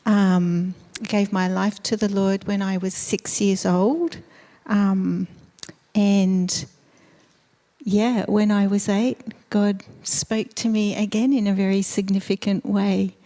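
A middle-aged woman from Eastern Europe, raised in Australia, telling her story as a missionary nurse in a church interview, earnest and thoughtful with gentle laughs. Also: mid-range voice, steady tone, slow. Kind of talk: church interview, personal testimony. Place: church sanctuary.

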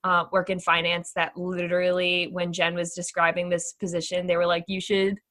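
A woman's voice: lowish voice, monotone, fairly bright tone. A young woman co-hosting a podcast in casual, bubbly chat. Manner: bubbly, quick bursts, reads sponsor ad. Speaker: podcast co-host.

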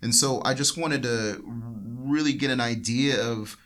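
A man's voice: mid-range voice, unhurried, fairly bright tone. A young man hosting a casual podcast discussion, rambling and correcting himself as he talks. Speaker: podcast host. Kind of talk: casual panel chat.

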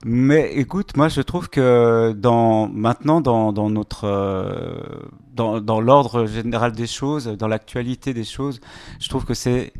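A man appearing as a musician guest, answering in a relaxed, hesitant way in a casual interview. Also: mid-range voice, slow. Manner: relaxed, hesitant, laughs easily.

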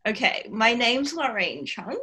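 A woman's voice: on the high side, big pitch swings, a little slow, pushed and bright. A woman from Taiwan, a podcast guest, chatting casually and laughing often. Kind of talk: casual chat.

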